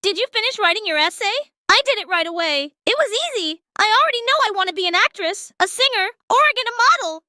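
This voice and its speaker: A woman's voice: very high-pitched, animated, quick pace, few pauses, pushed and bright, no echo, clean studio sound, heavily acted. A woman voicing a chatty, self-assured schoolgirl in a manga dub. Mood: bubbly, matter-of-fact.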